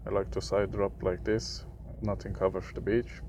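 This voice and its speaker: A young man from Sweden with a Swedish accent, for whom English is a third language, giving casual gameplay commentary in a halting way, often searching for words. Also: low-pitched, flat delivery, muffled recording, noisy background. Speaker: gaming video creator.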